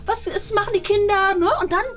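Woman acting high-voiced, gushing, nosy mum. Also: fairly even intonation, fairly quick, fairly bright tone, soft background music. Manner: gushing, chatty, exaggerated. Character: chatty, nosy, cheerful, embarrassing mother of a schoolboy. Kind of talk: scripted family comedy sketch. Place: indoors, school corridor and small rooms.

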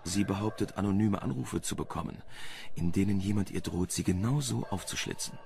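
Gravelly, wry reporter. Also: male voice, low voice, brisk, dark tone, a little hiss or hum. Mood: matter-of-fact. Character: dry, well-informed male journalist named Sam on a case. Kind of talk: German crime radio drama acting.

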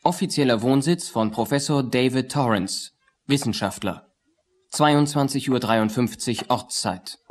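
A man's voice: medium pitch, measured pace. A man announcing places and times in clipped, scripted lines for an audio thriller. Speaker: scene announcer.

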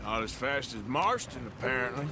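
An actor playing a blunt, teasing outlaw with an American western drawl in a cinematic game. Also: male voice, medium pitch, lively intonation, moderate pace, lightly acted. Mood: curt, casual. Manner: blunt, sardonic, folksy. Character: gruff, teasing, rough-edged male outlaw wagon driver. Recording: noisy outdoor wagon and horse ambience.

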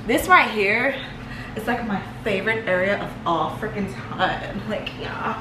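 A young woman giving a casual house tour vlog, chatting in an animated, slangy, gushing way. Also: medium pitch, unhurried, over music. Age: young adult. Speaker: vlogger. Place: indoors, rooms of a house. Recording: echoey rooms with clear background noise.

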